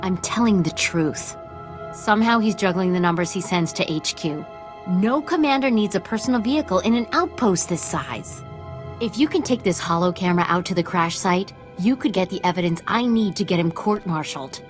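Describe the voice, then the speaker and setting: An actress playing a blunt, sardonic, aggrieved quartermaster in a sci-fi video game. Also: female voice, lowish voice, moderate pace, music in the background, lightly acted. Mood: insistent. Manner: sarcastic, blunt. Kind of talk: video game dialogue acting.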